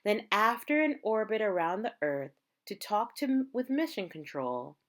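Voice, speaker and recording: A woman reading a picture book aloud like a teacher, in measured, dramatic phrases with emphasized words. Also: mid-range voice, big pitch swings. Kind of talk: read-aloud storytelling.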